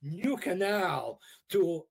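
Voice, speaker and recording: A man giving casual political commentary as an interview guest, halting, conversational and dismissive. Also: sits high, animated, creaky.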